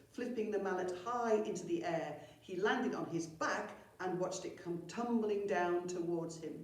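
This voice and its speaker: A woman with a fairly low voice reads a children's story with expression. She sounds like a school storyteller, recorded some way from the microphone in a very echoey room. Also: darkish tone. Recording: very echoey room, far from mic.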